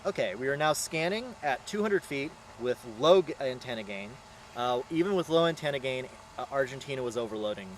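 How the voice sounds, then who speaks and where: A man's voice: mid-range voice, expressive, brisk, a little hiss or hum. A man hosting a casual on-location vlog outdoors, commenting on a hands-on experiment. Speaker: host running a drone antenna experiment.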